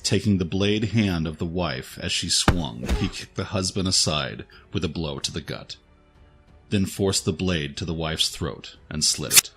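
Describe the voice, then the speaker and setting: A man's voice: sits low, a little slow, fairly bright tone. A man narrating a scripted dramatic story as its storyteller. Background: faint background music.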